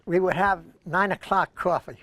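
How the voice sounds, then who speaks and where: A man's voice: high voice, normal speed, pushed and bright. An older man with a Brooklyn accent, co-hosting a senior news show and reminiscing in a rambling, anecdotal way. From Brooklyn, New York, USA. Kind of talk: casual reminiscing chat.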